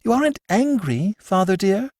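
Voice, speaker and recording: A man voices an anxious young daughter who pleads gently in a soft voice. The pitch sits raised and lilting. The sound is dry and close. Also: big pitch swings, moderate pace, dry recording. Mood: pleading.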